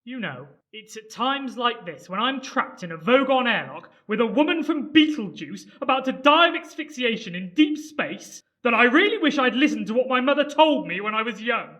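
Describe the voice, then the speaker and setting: A high, bright, flustered stowaway, played by a woman, recorded in an echoey room. The delivery is sardonic and aggrieved, a hapless complainer in a comic radio play. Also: animated, moderate pace, hardly any pauses. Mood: anxious, resigned. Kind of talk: comic amateur radio drama acting.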